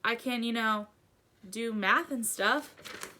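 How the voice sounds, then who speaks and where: A woman's voice: fairly high pitch, slow pace, sharp tone, slight background noise. A woman voicing a timid, polite, puzzled young boy in a storybook, speaking hesitantly. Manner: hesitant, tentative. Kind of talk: children's storybook read-aloud.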